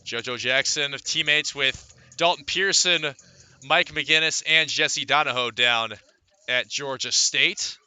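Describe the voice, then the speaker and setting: Bright, forceful American baseball announcer, man. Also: medium pitch, takes it slowly, clear, resonant tone, no echo. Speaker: baseball radio play-by-play announcer. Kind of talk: live sports commentary.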